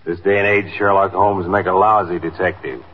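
An actor playing an easygoing, weary, kindly police detective in a 1950s radio drama, casual and offhand. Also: male voice, sits low, steady tone, normal speed, slight background noise, lightly acted. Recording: dull, lo-fi 1950s radio recording.

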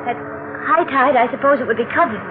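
An actress playing an anxious, inquisitive wife in a 1940s radio mystery, speaking in a hesitant, probing way. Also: female voice, high voice, fast talker, narrow, tinny audio, close to an everyday voice. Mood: uneasy, insistent. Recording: thin vintage radio broadcast, music underneath.

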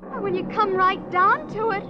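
An actress playing a naive, pampered young heiress in an old American radio drama, airy and blithe. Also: female voice, extremely high pitch, moderate pace. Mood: blithe excitement. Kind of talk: 1940s-50s American radio drama acting. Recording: muffled, noisy vintage radio recording.

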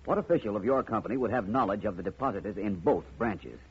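An actor playing a calm, courteous private detective with an assured manner in a 1950s radio drama. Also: male voice, lowish voice, fast, breathy edge, heavily acted. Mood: probing, polite. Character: calm, confident, polite male private detective. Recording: muffled, noisy old radio recording.

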